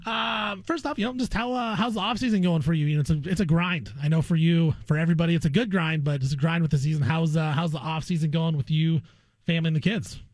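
A man's voice: on the high side, fast talker, a little mellow, no room sound. A man guest-hosting a sports talk radio show, interviewing in an informal, chummy way.

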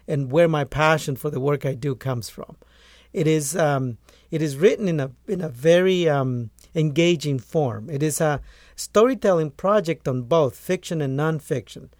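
Gravelly man from Guatemala. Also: a little high, a little mellow, dry recording, clean studio sound. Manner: earnest, restarts phrases often. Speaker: poultry farmer and author. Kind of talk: conversational interview answers.

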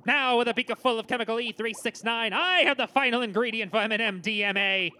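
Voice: medium pitch, quick pace, sharp tone, lightly acted. A performer voicing an overmedicated, scheming teenage boy villain in a comedy sketch, grandiose and unhinged.

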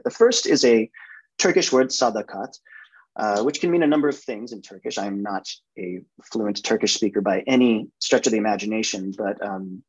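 A man giving an academic lecture from slides, halting and full of fillers and self-corrections. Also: mid-range voice, slightly rough, dull audio.

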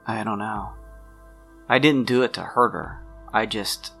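A man playing an awkward, guilt-ridden young man in a tabletop game, talking haltingly and trailing off. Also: lowish voice, creaky, fairly bright tone, soft background music, heavily acted. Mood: guilty, regretful.

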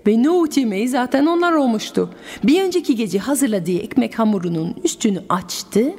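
A French woman with a French accent, hosting a radio show and telling a folk tale in an expressive, musical way. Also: on the high side, fairly quick, big-room sound. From France. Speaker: radio host and storyteller. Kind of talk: storytelling for adults on radio.

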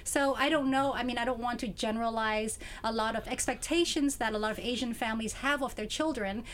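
A woman's voice: fairly high pitch, a little hiss or hum. A woman interviewing guests on a radio show, asking long, thoughtful questions with many fillers.

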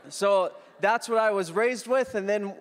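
A man's voice: sits high, a little slow. A man in his thirties who grew up in the Philippines, a guest preacher telling his story in an upbeat, joking way to the congregation. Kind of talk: sermon with personal story. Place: church hall. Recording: long church hall reverb.

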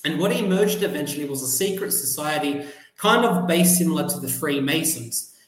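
A man's voice: a little high, expressive, echoey room, poor audio. A young Australian man, a guest on a podcast, explaining at length with frequent ums and uhs. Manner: measured explainer with fillers like um and uh. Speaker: guest, journalist and PhD student. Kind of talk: explanatory interview answers.

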